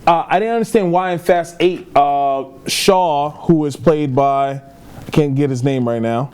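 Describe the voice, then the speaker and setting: Man, slightly high voice, casual podcast co-host, halting delivery, movie chat. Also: darkish tone, clean tone.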